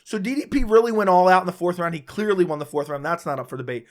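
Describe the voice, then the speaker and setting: A man who is sick and losing his voice, hosting a casual, opinionated solo recap of a fight round by round. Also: high-pitched. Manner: casual, opinionated, talks fast in bursts with restarts. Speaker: MMA commentator, channel host.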